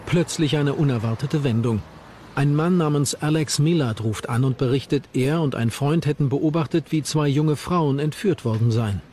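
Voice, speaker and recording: A man's voice: fairly high pitch, normal speed, a little mellow, slight background noise. A man reading scripted narration for a documentary.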